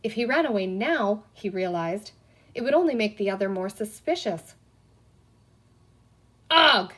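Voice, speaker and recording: A woman reading a children's story aloud as scripted, expressive narration. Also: mid-range voice, normal speed. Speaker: audiobook reader.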